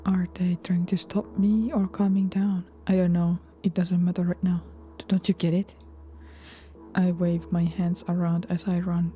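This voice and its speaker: A woman doing let's play commentary, reading game text aloud in a soft-spoken voice that often turns whispery. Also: on the low side, a little hiss or hum. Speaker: video game streamer. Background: game music.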